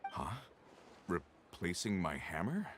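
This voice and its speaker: A man voicing a humble, earnest working craftsman in a video game, plain-spoken and expressive. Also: low voice, slow pace, background noise, exaggerated voice. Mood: weary, troubled.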